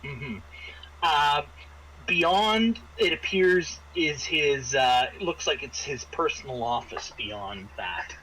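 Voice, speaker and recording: A man narrating an improvised tabletop game as game master, often halting and repeating words as he searches for phrasing. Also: a little high, animated, sharp tone, a little hiss or hum, low-quality recording.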